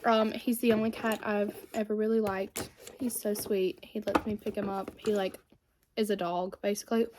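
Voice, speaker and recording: A woman's voice: medium pitch, little pitch movement, moderate pace, noisy background. A woman, chatting casually and rambling while she does her makeup. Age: 20s. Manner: chatty and rambling, many fillers. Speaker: beauty vlogger.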